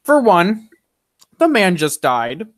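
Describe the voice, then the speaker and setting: A young man chatting casually, voicing firm opinions in quick bursts with frequent restarts. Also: high voice, wildly swooping pitch, no room sound, clean studio sound. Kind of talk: casual chat.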